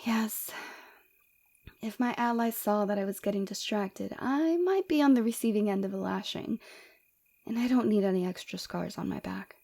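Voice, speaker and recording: Casting: woman to play seductive villainess for ASMR roleplay; soft, dark-toned, breathy delivery, coy and teasing, close on mic. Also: mid-range voice, normal speed. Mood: candid, inviting.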